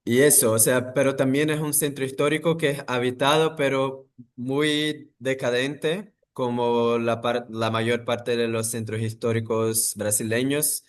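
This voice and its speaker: A man, talking in a thoughtful, halting way with many pauses and restarts as an interview guest. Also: medium pitch, level tone. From Brazil. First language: Portuguese. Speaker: architect guest. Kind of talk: interview conversation.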